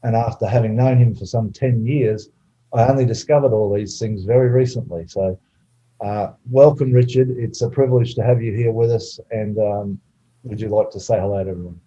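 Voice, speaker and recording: A man hosting an online webinar over a video call, relaxed and hesitant with many fillers. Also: on the low side, normal speed, husky, dull audio. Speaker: webinar host.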